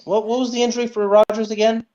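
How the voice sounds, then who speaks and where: A man's voice: high voice, flat delivery, fast, darkish tone. A man co-hosting a sports podcast, chatting casually about football over dull, lo-fi audio.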